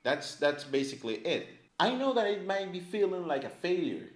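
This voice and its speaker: A man's voice: high-pitched, moderate pace. A man teaching a language in a casual, chatty way to camera, filling his talk with "right?" and "like". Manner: animated, chatty, many fillers like "right?" and "like". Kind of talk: casual explanatory lesson to camera. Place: indoor room, filmed by a camera operator. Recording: echoey room.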